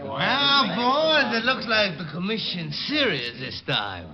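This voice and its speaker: An actor playing a sarcastic, goading rival private detective in a 1940s radio crime drama. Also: male voice, high voice, sing-song, slow pace, exaggerated voice. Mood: sarcastic needling. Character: sneering, needling rival male private detective. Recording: muffled old radio recording, crowd behind.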